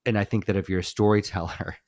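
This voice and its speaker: A man's voice: lowish voice, steady tone, fast, darkish tone, no room sound, clean studio sound. A man co-hosting a podcast, chatting easily about films and laughing often mid-sentence. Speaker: podcast co-host. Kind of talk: casual film review chat.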